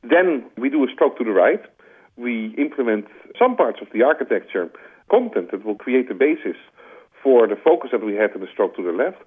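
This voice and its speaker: A man's voice: medium pitch, lively intonation, brisk, slightly rough. A man with a Dutch accent answering interview questions, explaining his points conversationally with analogies and "like" fillers. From Netherlands. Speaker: interview guest, corporate strategy executive. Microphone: telephone. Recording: tinny phone line.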